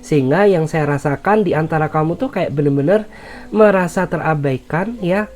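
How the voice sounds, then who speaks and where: A woman's voice: low-pitched, darkish tone, a little hiss or hum. A woman doing a tarot reading with an Indonesian accent, her voice nasal from a cold, speaking soothingly with many filler words. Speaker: tarot reader.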